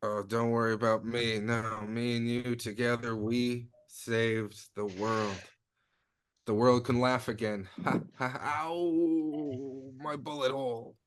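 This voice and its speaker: A man's voice: mid-range voice, fairly even intonation, takes it slowly, natural voice. A man acting a wounded, dying pal in a comedy table read, casual and sheepishly brave. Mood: tender, pained.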